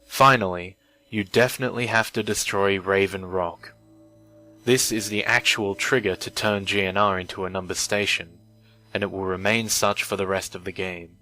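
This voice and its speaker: A man narrating a scripted horror story in a measured, deliberate way with pauses, recorded on an old microphone with some odd noise. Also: low voice, sharp tone, low-quality recording. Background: background music.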